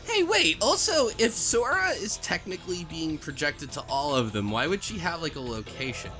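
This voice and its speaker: A man's voice: a little high, sing-song, moderate pace, sharp tone, soft background music. A man in a casual group discussion, questioning and interjecting. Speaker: book club podcast panelist.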